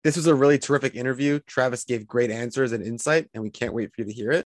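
A man hosts an interview podcast. His voice sits at an average male pitch, and the sound is dry, with hardly any room on it. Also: fast, dry recording. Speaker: podcast host.

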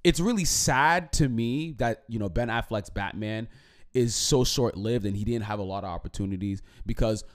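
A man co-hosting a podcast, chatting casually about a movie in a rambling way, with many restarts and a throat that is going hoarse. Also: on the low side, normal speed. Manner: rambling, repeats and restarts, animated. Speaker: podcast co-host. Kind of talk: casual movie review chat.

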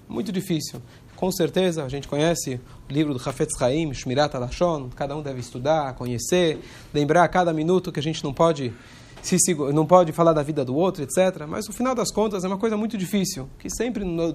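A man, hoarse from a cold, giving an informal religious lesson in a conversational way and pausing now and then to search for words. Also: fairly high pitch, darkish tone.

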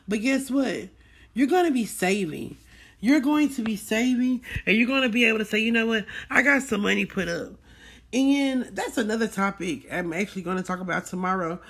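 A woman's voice: on the high side, husky. A woman hosting a book club in a casual chat, informal and repeating phrases for emphasis.

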